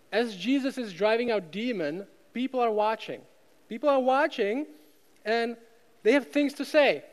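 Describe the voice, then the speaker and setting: A man from the Czech Republic with a Czech accent, preaching a sermon with emphatic repetition and rhetorical questions. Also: high-pitched. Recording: long hall reverb.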